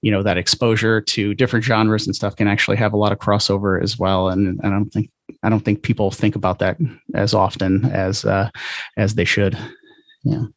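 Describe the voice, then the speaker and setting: A man's voice: lowish voice, level tone, a little fast, no echo. A man hosting a podcast interview in casual chat, with a hesitant, rambling way of talking.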